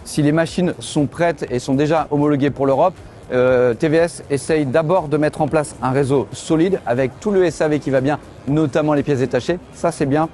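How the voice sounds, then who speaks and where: A middle-aged man, a motorcycle journalist, walking a trade show and explaining the bikes in a lively way. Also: a little high, a little hiss or hum. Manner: lively, explanatory, tosses in fillers. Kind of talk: trade show walkthrough and interviews. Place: busy indoor exhibition hall. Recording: background music.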